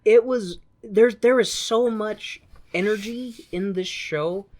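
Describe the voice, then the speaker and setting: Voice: mid-range voice, takes it slowly, a little mellow. A podcast co-host giving a casual, jokey, irreverent retelling of a film.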